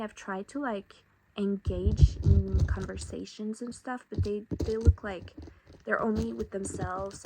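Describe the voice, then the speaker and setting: Casting: young adult female vlogger, unhurried and hesitant, fry in the voice, casual crafting chat, faint noise behind. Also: medium pitch. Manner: hesitant, halting, reflective delivery.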